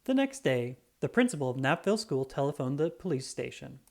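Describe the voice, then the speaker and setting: Lively, animated children's storybook reader. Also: mid-range voice, vocal fry. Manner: animated, dramatic, with drawn-out emphasis. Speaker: children's book read-aloud narrator. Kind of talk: expressive scripted storybook narration for children.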